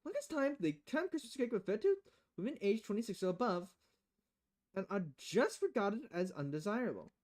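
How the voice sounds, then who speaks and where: A woman's voice: mid-range voice, very animated, normal speed, a little mellow. A woman streaming a game, reading visual novel dialogue aloud and acting it out with changing voices.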